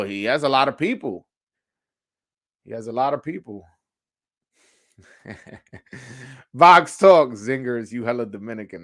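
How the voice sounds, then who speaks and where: A man hosting a live sports call-in show, chatting casually in slangy, sweary language and often repeating phrases as he thinks aloud. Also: medium pitch. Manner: informal, slangy, frequent swearing. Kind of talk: casual sports chat answering live commenters.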